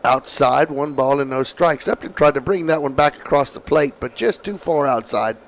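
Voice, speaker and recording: A man calling softball play-by-play, his voice slightly raspy from allergies, with calm, measured calls. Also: fairly high pitch. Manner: calm, measured calls, excited on big hits. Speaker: play-by-play announcer.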